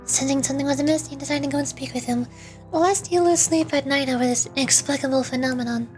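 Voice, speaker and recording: A woman's voice: high voice, fast talker, a little mellow, faint music behind. A woman VTuber on a game stream, chatting casually and reading the narration aloud.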